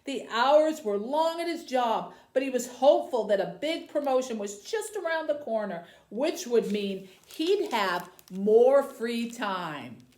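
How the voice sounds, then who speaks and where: High, animated woman reading in a roomy space. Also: measured pace, few pauses. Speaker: librarian host. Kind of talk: reading a children's novel aloud, then addressing young viewers. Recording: roomy, echoey indoor sound.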